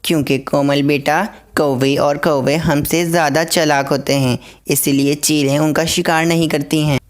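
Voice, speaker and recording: A woman voicing a gentle, protective and anxious mother sparrow in a cartoon story. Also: low voice, steady tone, moderate pace, pitched below the performer's own voice, natural voice. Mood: protective, sorrowful.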